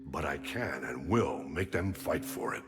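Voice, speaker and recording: Game admiral: older, authoritative and loyal, very low register with lively intonation. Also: male voice, exaggerated voice. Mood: supportive, resolute. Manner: measured, grave, authoritative. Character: gruff older male military admiral, stern but loyal. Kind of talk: cinematic science fiction game dialogue. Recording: music under the dialogue.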